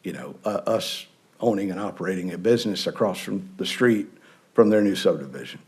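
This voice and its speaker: A man's voice: low-pitched, brisk, a little mellow, breathy edge. A man from North Carolina who owns a local business, speaking plainly and matter-of-factly at a public hearing. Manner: plain-spoken, matter-of-fact. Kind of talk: public comment.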